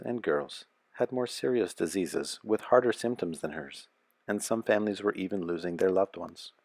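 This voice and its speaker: A man narrating a children's picture book aloud as a storyteller, with a measured, expressive delivery. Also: low-pitched, creaky, dry recording.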